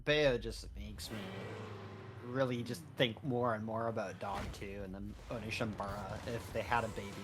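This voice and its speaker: A man's voice: on the low side, steady tone, moderate pace, music in the background. A man co-commentating on a gaming livestream in casual, joking chat.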